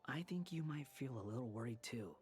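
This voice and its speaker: An actor playing a brooding, vengeful young man in a video game, speaking in a deliberate, cynical way. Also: mid-range voice, expressive, darkish tone, faint music behind, close to an everyday voice. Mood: resolute.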